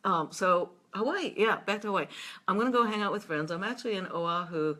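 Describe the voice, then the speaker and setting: A woman hosting a casual live video chat, playful and teasing, with quick asides. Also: medium pitch, sharp tone. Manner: slow breathy whisper when demonstrating a seductive voice. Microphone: webcam or laptop mic on a live stream.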